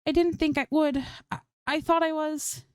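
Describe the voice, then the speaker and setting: A woman's voice: sits high, steady tone, normal speed, no room sound, studio quality, voice pushed up, close to an everyday voice. A woman voicing a bubbly, anxious young female streamer in a story reading, chirpy and a little scattered. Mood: anxious, apologetic.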